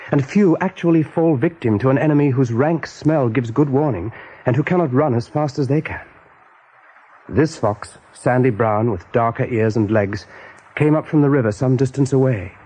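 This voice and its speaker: A man narrating an animal adventure as a calm storyteller in a radio play. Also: mid-range voice, normal speed, lightly acted, low-quality recording. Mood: measured.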